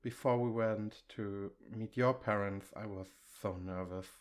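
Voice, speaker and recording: A man playing an affectionate, reassuring boyfriend in an intimate ASMR comfort roleplay, speaking in a gentle, coaxing, conversational way. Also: lowish voice, fairly even intonation, measured pace, natural voice. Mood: reassuring, candid.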